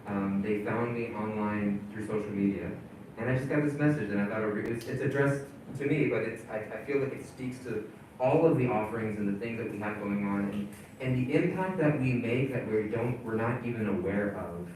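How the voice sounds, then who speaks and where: A man's voice: on the low side, a little mellow, recorded from a distance, a little hiss or hum. Man speaking in an echoey hall. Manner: halting, with many fillers and restarts. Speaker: community leader presenting at a town hall. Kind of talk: casual spoken presentation.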